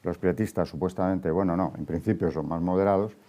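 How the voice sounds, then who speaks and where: A man's voice: low-pitched, brisk, mellow. A man with an accent from Spain, explaining things as an expert guest, with emphatic asides. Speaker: history professor, expert guest. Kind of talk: explanatory interview answer.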